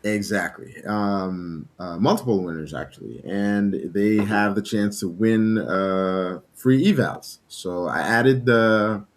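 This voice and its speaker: Relaxed man, native French speaker. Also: lowish voice, slow pace, hardly any pauses. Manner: relaxed, laughs often, greets chat viewers. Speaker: livestream host. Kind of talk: casual livestream chat.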